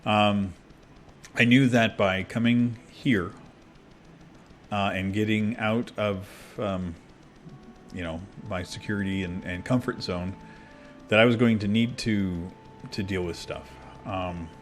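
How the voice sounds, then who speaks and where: A man with a fairly low voice talks while playing a game, rambling openly and circling back through fillers and false starts. Soft game music sits faintly under him. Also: steady tone, slow pace, long pauses. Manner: rambling and candid, many fillers and restarts. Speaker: gaming video creator. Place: abroad, recording at a computer.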